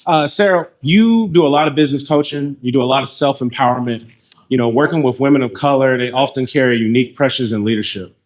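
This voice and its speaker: A man's voice: mid-range voice, fast. A man moderating a live panel, asking questions and giving short prompts. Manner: brief, encouraging prompts to panelists.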